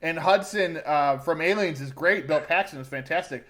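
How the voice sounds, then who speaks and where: Guy doing a chatty movie review podcast, kinda higher pitched voice, has opinions. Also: normal speed, a bit bright. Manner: conversational, informal, opinionated. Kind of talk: casual film review chat.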